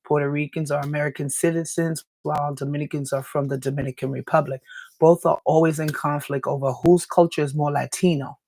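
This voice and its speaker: A woman hosting a live talk radio show with a raspy voice and a dry throat, lecturing earnestly and answering viewer comments. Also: deep voice, fairly even intonation, brisk, dark tone, slight background noise. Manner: earnest, lecturing, with small laughs and restarts.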